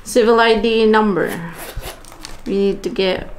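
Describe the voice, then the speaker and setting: A woman's voice: medium pitch, flat delivery, unhurried, slight background noise, poor audio. A woman in her thirties chatting casually through a vlog and talking through each step aloud. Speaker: vlogger. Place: home office, then car and supermarket.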